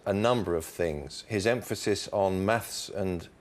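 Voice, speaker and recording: A man on a discussion panel giving opinions on a book in articulate, deliberate, emphatic phrases. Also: low voice.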